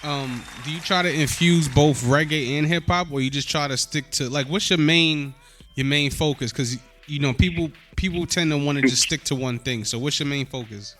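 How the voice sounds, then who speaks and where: A man hosting a casual podcast interview, upbeat and full of quick "word" and "yeah" replies. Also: on the high side, a bit bright, a faint crowd. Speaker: podcast host and DJ.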